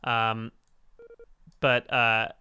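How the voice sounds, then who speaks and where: A man with a hoarse, raspy voice hosting a charity game stream, chatting casually with fillers and restarts. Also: level tone, very slow, pushed and bright. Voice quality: deeper raspier register. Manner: rambling, filler-heavy and self-correcting. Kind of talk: casual gaming chat.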